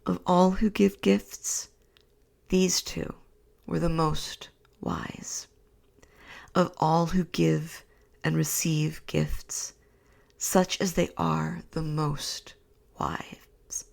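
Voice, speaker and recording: A woman storyteller reading a bedtime story in a soft, slow, soothing whisper. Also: low-pitched, level tone. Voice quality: breathy whisper.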